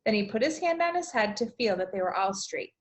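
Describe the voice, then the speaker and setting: A woman teacher reading aloud and explaining things to young children, with expressive, deliberate emphasis. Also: medium pitch. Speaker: elementary school teacher.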